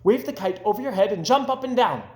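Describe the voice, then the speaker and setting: A man's voice: high-pitched, lively intonation, fast talker, pitched above the performer's own voice. A man voicing a cheerful, optimistic frog in a children's storybook, upbeat and encouraging, in a roomy echo. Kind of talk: children's storybook read-aloud.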